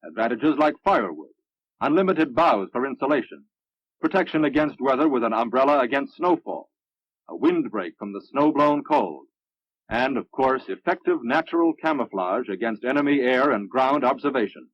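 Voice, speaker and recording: An American man reading scripted narration for a military instructional film in a measured, instructive manner. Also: mid-range voice, expressive, no echo. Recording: muffled, dry vintage film soundtrack.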